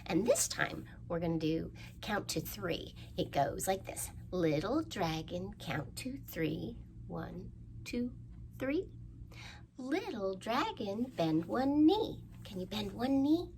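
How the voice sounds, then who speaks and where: Female storytime host for young kids: playful, encouraging, animated intonation, unhurried delivery, some background noise. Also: medium pitch. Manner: playful, encouraging, asks listeners to join in. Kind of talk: interactive rhymes and craft talk for young children.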